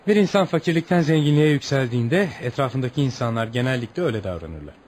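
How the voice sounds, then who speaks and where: Reflective gentleman visitor, played by an actor, polite and philosophical, quick delivery, medium pitch, slightly noisy radio play. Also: animated, close to an everyday voice. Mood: gently probing. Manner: measured wording, gently probing and philosophical. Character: courteous, reflective gentleman visitor hearing a girl's story. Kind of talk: radio theatre acting.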